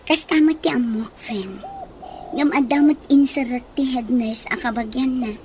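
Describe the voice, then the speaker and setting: An actress playing a friendly, eager young nurse looking for work in a radio drama. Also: female voice, high-pitched, normal speed, darkish tone, close to an everyday voice. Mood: hopeful. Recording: noisy, with ambient sound.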